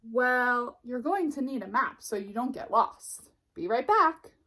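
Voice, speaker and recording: A woman reader puts on a bossy, bubbly girl sheep from a picture book. The voice is high and eager, with big swoops and a slightly breathy edge. She speaks as a confident little expert. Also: expressive, a little slow, sharp tone, exaggerated voice. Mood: helpful, eager. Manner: chirpy, eager, exclamatory. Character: bubbly, bossy, self-assured female sheep. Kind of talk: picture book read-aloud.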